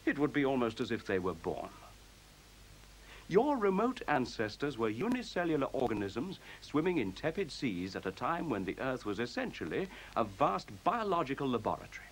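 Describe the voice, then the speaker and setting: An actor playing a self-assured, courteous computer in a radio play, speaking in articulate, formal, precisely lecturing phrases. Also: male voice, mid-range voice, sing-song, normal speed, poor audio. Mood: measured, explanatory. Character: self-assured, courteous sentient computer, male-voiced, coolly superior. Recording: faint tape hiss.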